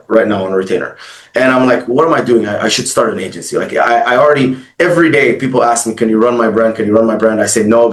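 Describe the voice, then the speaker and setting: Talkative man in an echoey room. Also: mid-range voice. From Egypt and Dubai. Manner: fast, fluent storytelling with many fillers. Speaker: guest, agency founder. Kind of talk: casual interview storytelling.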